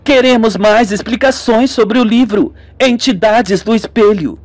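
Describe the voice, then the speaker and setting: A man's voice: high voice, measured pace, dry recording, voice pushed up. A man voicing an eager, scheming young woman in a radio melodrama, with an emphatic, coaxing manner.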